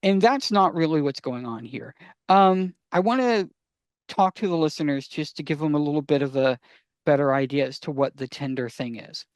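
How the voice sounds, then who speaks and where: A man's voice: fairly high pitch, moderate pace, clear, resonant tone, dry recording. A man co-presenting a podcast in casual explanatory chat, wry and rambling, repeating words for emphasis.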